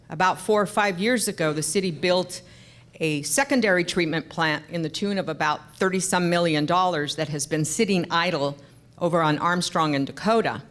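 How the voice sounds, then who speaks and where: Female union rep, deep voice, loud and assertive in public comment. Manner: loud, assertive, defends others' points. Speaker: union representative. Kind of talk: public comment at a town forum.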